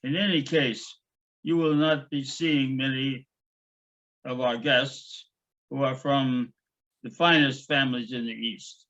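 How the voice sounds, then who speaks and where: A man's voice: medium pitch, steady tone, slow pace, fairly bright tone. A man voicing a stern German woman hotel manager who talks in a clipped, vaguely menacing way. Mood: cold, clipped. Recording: dull lo-fi recording.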